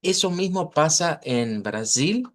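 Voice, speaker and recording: A man with a Latin American Spanish accent giving an informal language lesson, explaining pronunciation deliberately and often checking understanding with short tag questions. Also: on the high side, big pitch swings, a bit bright. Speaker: language teacher.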